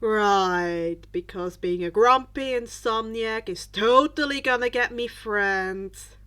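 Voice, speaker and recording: Woman as a grumpy, awkward teenage boy, slow-paced. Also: on the high side, sharp tone, clear, resonant tone. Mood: awkward, worried. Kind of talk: fan fiction audiobook character voice.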